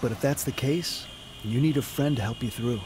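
A man's voice: mid-range voice, normal speed, natural voice. A kindly, assured man evangelizing on the street, played by an actor in an audio drama. He sounds earnest and reassuring, with faint traffic ambience behind him.

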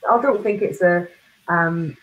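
Low-pitched woman host on a video call. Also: a little slow, fairly bright tone, dull audio. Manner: hesitant, with likes and ums. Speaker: interviewer, host. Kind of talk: casual video-call interview. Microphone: webcam on video call.

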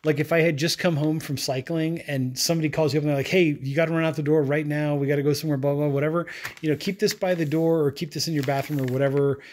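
A man's voice: on the high side, steady tone, fast talker, a little mellow. A man casually reviewing products at a table indoors, talking in a rambling, chatty way with many fillers and restarts.

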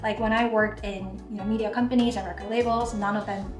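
A woman's voice: mid-range voice, fairly even intonation, fast, far from the mic. Chatty young woman. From Taiwan. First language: Mandarin. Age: 20s. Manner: chatty, frequent "like" fillers. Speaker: YouTuber. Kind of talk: casual Q&A vlog. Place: home kitchen, indoors. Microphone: camera across the counter. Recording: echoey kitchen with background music.

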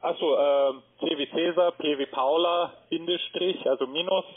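A man's voice: on the high side, fairly even intonation, moderate pace, breathy edge. Call center guy, polite but kinda unsure, lots of uh's, phone-quality sound. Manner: polite, hesitant, many fillers, repeats back details. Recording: phone-line audio.

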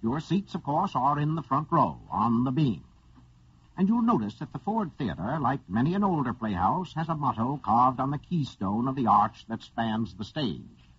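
Very husky man hosting vintage radio theater. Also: mid-range voice, very animated, normal speed, a bit bright, no echo. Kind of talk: 1940s radio scripted introduction. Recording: muffled scratchy 1940s radio recording.

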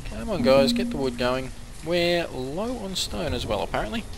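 A man giving casual commentary while playing a strategy game, hoarse and coughing now and then as his voice wears out, chatting to his villagers as he goes. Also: a little high, expressive, moderate pace, background noise. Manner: chatty, talks to the game's villagers. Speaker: gameplay commentator. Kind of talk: casual let's-play commentary.

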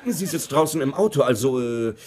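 An actor in a dubbed sitcom playing an easygoing, joking working-class husband with a casual, hesitant, wheedling manner. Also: male voice, mid-range voice, lively intonation, a little fast, a little mellow, slightly rough. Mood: nervous, pleading.

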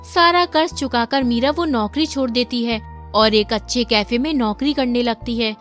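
Woman narrator for a moral story, high register, scripted read over music. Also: normal speed, fairly bright tone. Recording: faint music behind.

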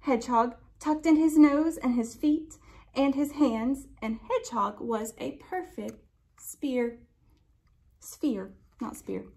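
A young woman reads a picture book aloud to children in a fairly high voice. Her delivery is very slow and expressive, stretching key words and turning to the listeners with simple questions. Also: gravelly. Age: young adult. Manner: expressive, drawn-out emphasis. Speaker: library storytime reader.

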